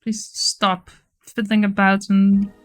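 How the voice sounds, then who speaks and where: Slow-talking Dutch woman chatting on stream. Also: medium pitch, completely flat delivery, faint music behind. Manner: chatty, reads chat aloud, frequent laughs and restarts. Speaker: live streamer. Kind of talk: casual livestream chat while working.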